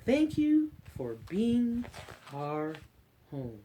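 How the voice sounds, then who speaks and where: Woman reading expressively to kids, very animated pitch. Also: slight background noise, rough recording. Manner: expressive, drawn-out reading with encouraging asides to young listeners. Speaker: story time host reading a picture book aloud to children. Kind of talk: children's read-aloud with chatty asides.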